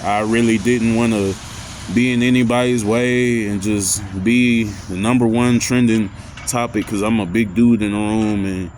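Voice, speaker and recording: A man with a deep voice talking loosely about anxiety and growing up, with lots of slang and filler phrases. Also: steady tone, moderate pace, darkish tone, slight background noise. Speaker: vlogger. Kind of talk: casual motivational talk.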